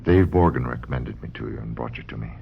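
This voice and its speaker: A calm, probing male psychiatrist in a radio mystery. His voice is extremely low and gravelly, with wry, lively inflection. The recording is old and dull. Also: fast, slight background noise, full character voice.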